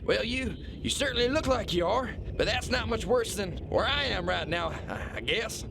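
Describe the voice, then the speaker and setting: A man's voice: high voice, lively intonation, moderate pace, vocal fry, noisy background. A man playing a failing, self-important kingpin in an absurdist audio drama, guilt-ridden and rambling, stammering and repeating his words. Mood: weary remorse.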